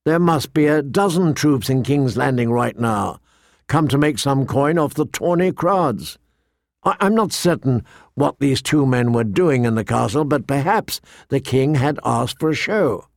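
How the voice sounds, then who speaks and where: A man voicing a stern but fond noble father in an audiobook, formal and measured. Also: mid-range voice, animated, gravelly, dry recording, studio quality. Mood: sceptical, patient. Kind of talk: audiobook character dialogue.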